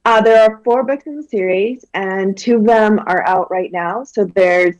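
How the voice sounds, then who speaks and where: A woman's voice: medium pitch, normal speed, dry recording, low-quality recording. A woman from Guyana, a children's author, chatting animatedly in an interview with lots of fillers and restarts. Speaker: children's book author, guest. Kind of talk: casual interview chat.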